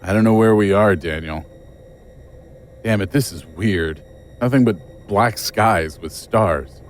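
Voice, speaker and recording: A man's voice: on the low side, takes it slowly, a little hiss or hum. A man playing a bewildered, defensive, world-weary investigator in an audio drama, with an agitated, emphatic delivery. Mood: bewildered, distressed.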